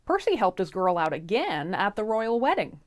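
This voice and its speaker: A woman's voice: mid-range voice, very animated, moderate pace. A woman storyteller narrating a fairy tale in a casual, irreverent, theatrical comic style.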